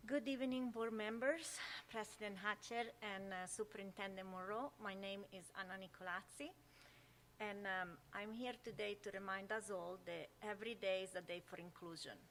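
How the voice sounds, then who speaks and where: A woman's voice: medium pitch, fairly even intonation, moderate pace, a bit bright, a little hiss or hum. A woman speaking at a meeting's public comment, delivering an upbeat prepared statement. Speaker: parent giving public comment.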